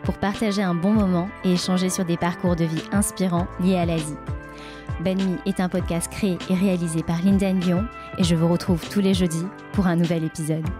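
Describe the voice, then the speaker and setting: A woman hosting a podcast, asking questions in a friendly, measured way with frequent fillers. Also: on the low side, little pitch movement, over music. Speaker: podcast host. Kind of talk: podcast interviewing.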